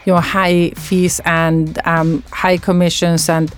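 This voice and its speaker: A woman's voice: fairly low pitch, flat delivery, slow. A woman presenting and interviewing, narrating and asking engaged, probing questions.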